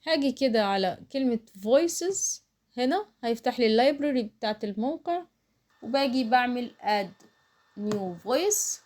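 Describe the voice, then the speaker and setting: High-voiced Egyptian woman teaching a tutorial. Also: expressive, normal speed, darkish tone. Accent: Egyptian Arabic. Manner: explains while doing, with English terms mixed in. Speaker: online tutorial instructor. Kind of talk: casual step-by-step screen tutorial.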